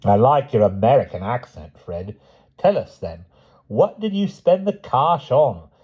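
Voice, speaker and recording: A man's voice: mid-range voice, very animated, measured pace, breathy edge, dull audio, voice pushed up. A man voicing a mocking, vengeful one-handed man who taunts sarcastically. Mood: taunting.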